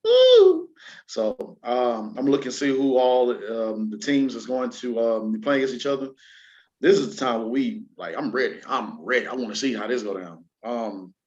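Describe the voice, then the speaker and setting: A man's voice: medium pitch, a bit bright. A man hosting a casual entertainment and sports show, chatting in a slangy, conversational way. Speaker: talk show host. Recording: slightly dull, lo-fi audio.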